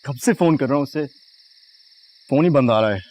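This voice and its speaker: A man's voice: medium pitch, expressive, fairly quick, darkish tone, slight background noise, close to an everyday voice. A man playing an anxious young son. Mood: worried. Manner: anxious, subdued. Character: worried young son of the house.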